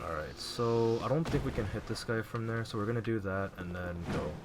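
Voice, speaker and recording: A man quietly narrating his video game playthrough in a low voice, rambling casually with fillers. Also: fairly quick, heavy background noise. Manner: relaxed, rambling, frequent fillers. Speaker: let's play gamer. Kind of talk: casual gameplay commentary.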